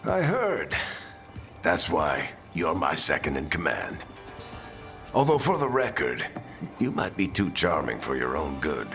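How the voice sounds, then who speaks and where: A man's voice: rumbling low, huge pitch swings, measured pace, long pauses, fairly bright tone, heavily acted. A man playing a seasoned, wry adventurer and mentor in a video game, bantering and giving clipped orders. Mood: wry, confident. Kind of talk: video game dialogue acting. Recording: game music behind the voice.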